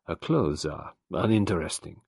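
A man voicing a grave, cynical middle-aged French doctor in a storybook reading, deliberate and world-weary. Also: sits low, lively intonation, vocal fry, darkish tone, slightly rough, no room sound, studio quality, exaggerated voice. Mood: dreamy, disdainful.

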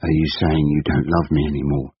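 Guy playing a stubborn middle-aged husband, husky and dry, a bit dull sounding. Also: extremely low pitch, level tone, fairly quick, no room sound. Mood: calm.

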